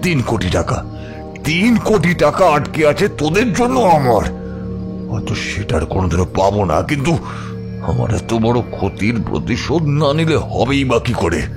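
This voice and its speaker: An actor playing a ruthless, vengeful crime boss in a horror audio drama, with a mocking, menacing manner. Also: male voice, mid-range voice, sing-song, music in the background. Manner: menacing, mocking, drawn-out rhetorical questions.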